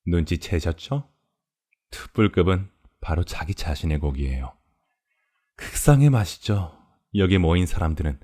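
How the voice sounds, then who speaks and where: A man's voice: low voice, darkish tone. A man voicing a polite, sadistic cannibal farmer in a horror audio drama, explaining things in a courteous, leisurely, gloating way. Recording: music behind the voice.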